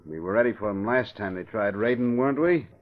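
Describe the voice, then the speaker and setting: A tough, gruff gangster henchman, bossy and full of street slang, as a man acts him out. His voice is fairly low. It comes through a muffled, lo-fi old radio recording. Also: brisk, lightly acted. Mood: menacing. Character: tough male gangster, the boss's right-hand man. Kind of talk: 1940s radio acting. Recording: dull lo-fi old radio broadcast.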